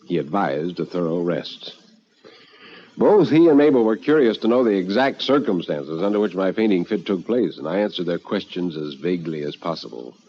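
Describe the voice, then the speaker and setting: An actor playing an elderly, anxious but philosophical man, narrating in a deliberate, literary manner for radio drama. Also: sits low, expressive, husky. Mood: reflective, resigned. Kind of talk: old-time radio dramatic reading. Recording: muffled vintage radio recording with music.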